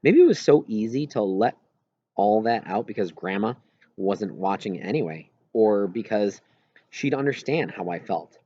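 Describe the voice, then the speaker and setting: A man narrates a children's novel in the first person, reading it aloud. His mid-range voice moves widely up and down in pitch. The recording is dry and a little dull, with hardly any room sound. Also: expressive, normal speed, mellow, husky, no room sound. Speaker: audiobook reader.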